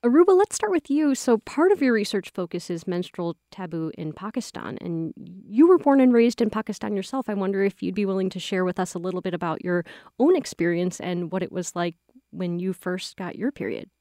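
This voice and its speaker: A woman hosting a public radio talk show, introducing guests and asking questions in a clear, measured way. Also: mid-range voice, animated, warm tone, dry recording, studio quality. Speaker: radio host.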